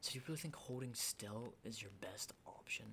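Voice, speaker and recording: Over clearly audible noise, a jittery, hardened male survivor of a zombie apocalypse played by a man speaks in a breathy, slightly low whisper. He is halting and insistent, repeating himself like someone out of practice with people, in an ASMR roleplay style. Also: pushed and bright. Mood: scornful, insistent. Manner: halting, repetitive, insistent, often checking with "okay?". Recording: clearly noisy close whisper recording.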